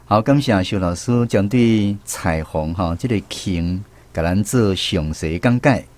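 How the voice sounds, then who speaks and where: A man with a Taiwanese accent hosting a radio language lesson in a measured, explanatory way. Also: deep voice, a little mellow. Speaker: radio show host.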